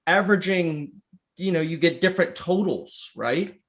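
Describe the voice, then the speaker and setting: A man's voice: fairly high pitch, lively intonation, moderate pace. A man co-hosting a podcast, bantering casually and arguing his point. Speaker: podcast co-host.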